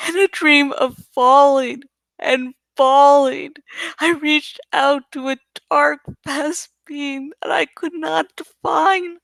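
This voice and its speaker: A woman voicing a desperate, exhausted young girl in a dramatic, intense first-person storybook reading with drawn-out emphasis. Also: high voice, fairly bright tone, no room sound, exaggerated voice. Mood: pleading, intense. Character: desperate, exhausted young girl narrating her morphing battle.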